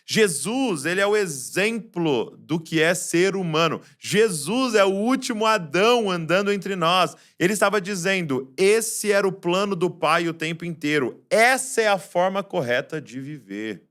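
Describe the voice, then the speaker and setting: A man preaching a Christmas sermon, shifting between soft, low passages and loud, raised ones, full of rhetorical questions.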